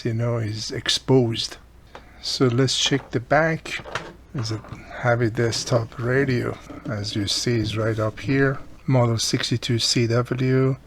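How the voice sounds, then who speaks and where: A man's voice: medium pitch, gravelly, a bit bright, slight background noise. A man casually explaining a hands-on repair as he works, speaking unscripted with non-native-sounding grammar and often dropped articles. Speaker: radio repair hobbyist and host.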